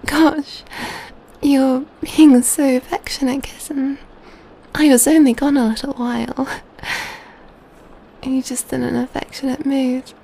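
A very high, mellow female vampire, acted by a woman for an ASMR roleplay, cooing and soothing as she pampers her companion. She takes her time, speaking slowly and tenderly, close to the listener. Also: flat delivery, vocal fry, a little hiss or hum. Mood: affectionate. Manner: tender, cooing, soothing, often dropping to whispers. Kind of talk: ASMR roleplay, soft intimate speech.

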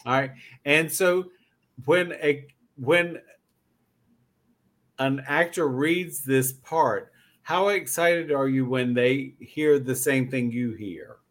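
A man's voice: mid-range voice, takes it slowly. A man hosting a conversational podcast interview, putting questions to guests and repeating phrases for emphasis. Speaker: podcast host interviewing writers.

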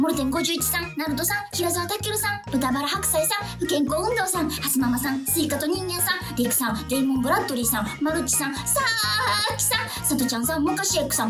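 An excitable female cabbie speaks in a strikingly high voice with a Kansai accent. She is a devoted radio fan, melodramatic and exclamatory, in a comic audio drama. Music plays clearly behind her.

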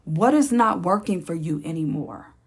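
A woman hosting her own podcast, speaking in a slow, encouraging, reflective way, with a raspy voice and features. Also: on the low side, expressive. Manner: slow, encouraging, repeats phrases for emphasis. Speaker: podcast host. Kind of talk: reflective solo podcast talk.

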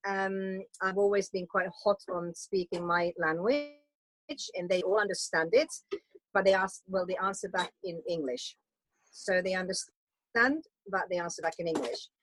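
A woman's voice: on the low side, moderate pace, a bit bright, poor audio. A woman from Finland, a Swedish-speaking Finn, chatting casually as a guest about homeschooling, often restarting her sentences. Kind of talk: casual conversation.

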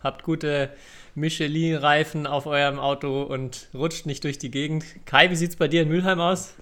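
A man's voice: on the high side, steady tone, normal speed, fairly bright tone. A man hosting a podcast, chatting casually about sport. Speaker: podcast host. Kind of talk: casual chat on a badminton podcast.

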